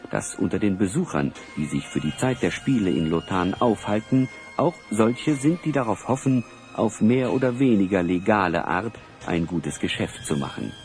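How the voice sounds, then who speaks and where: A man narrating a children's radio play in a measured, dramatic storytelling style, reading from a script. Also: fairly low pitch, a little mellow. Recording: music behind the voice. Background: music.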